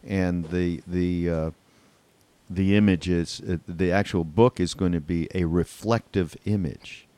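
Low voiced guy hosting a podcast, casual and halting, really dry sound. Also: fairly even intonation, dark tone, no room sound. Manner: halting, repeats words. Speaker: podcast host. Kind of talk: casual interview chat.

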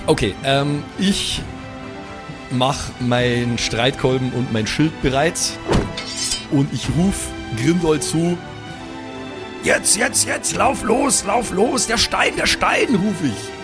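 A man's voice: a little high, takes it slowly. A man chatting casually as a player in an improvised tabletop role-play. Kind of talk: casual improvised game chat. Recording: music behind the voice. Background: background music.

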